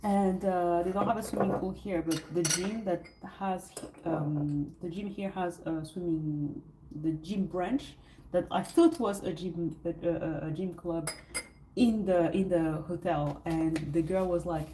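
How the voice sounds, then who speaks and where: A woman's voice: on the low side, measured pace, dark tone, slight background noise, rough recording. Sleepy French woman chatting with viewers. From France. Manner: rambling, hesitant, many fillers and restarts. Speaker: livestream host. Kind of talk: casual livestream chat with viewers. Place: hotel suite, indoors.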